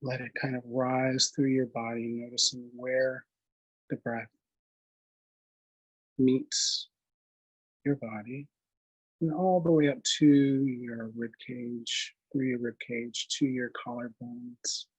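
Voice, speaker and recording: A man leading a guided meditation in a gentle, unhurried manner, leaving long pauses between short phrases. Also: medium pitch, fairly even intonation, slightly rough, rough recording. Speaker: meditation instructor.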